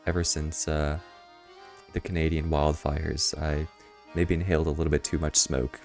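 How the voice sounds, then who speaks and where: Low gravelly guy streaming a game, kinda hoarse, rambly. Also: flat delivery, normal speed, a little mellow, soft background music. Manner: rambling, chuckling asides. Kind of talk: casual game commentary.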